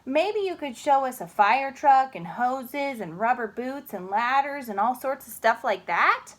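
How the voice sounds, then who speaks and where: Cautious small boy for a picture book, performed by a woman, high and swooping, wordy and unsure. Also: expressive, unhurried. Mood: polite, hopeful. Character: cautious, hesitant little boy, easily dismayed.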